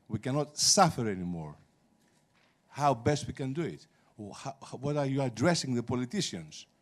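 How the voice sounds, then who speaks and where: A man's voice: mid-range voice, a little mellow. A man asking a question from the floor of a conference hall, impassioned and insistent. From Greece. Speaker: audience member asking a question. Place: large conference auditorium.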